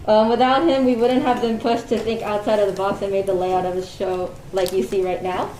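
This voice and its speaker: A woman's voice: medium pitch, flat delivery, brisk, dark tone. A young woman giving an informal thank-you speech in an echoey performance space. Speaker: student theatre member giving thanks. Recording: echoey room with faint noise.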